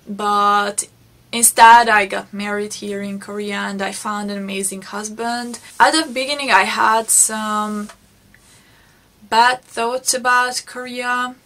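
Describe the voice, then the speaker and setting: A young Hungarian woman with a Hungarian accent, telling her life story in English for her vlog, reflective and unhurried. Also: on the high side, bright, forceful tone. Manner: unhurried, reflective, frequent restarts. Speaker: vlogger.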